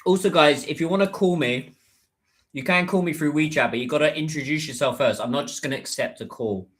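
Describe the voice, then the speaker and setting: A man's voice: on the high side, brisk, fairly bright tone. A British man chatting casually on a livestream, rambling a little with plenty of fillers and restarts. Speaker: livestreamer and business content creator.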